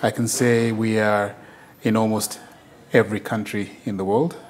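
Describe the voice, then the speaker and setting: A man's voice: on the low side, level tone, normal speed, a little hiss or hum. A man from Zimbabwe, a company's country manager, answering interview questions in a hesitant way with frequent fillers and restarts.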